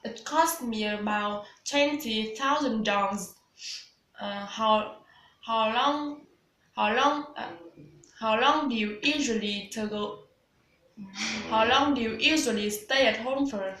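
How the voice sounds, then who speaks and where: A woman's voice: a little high, takes it slowly, long pauses, a bit bright, roomy sound, a little hiss or hum, low-quality recording. A woman in her twenties with a Vietnamese accent, practising scripted English dialogue as a student, hesitant with many fillers and restarts. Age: 20s.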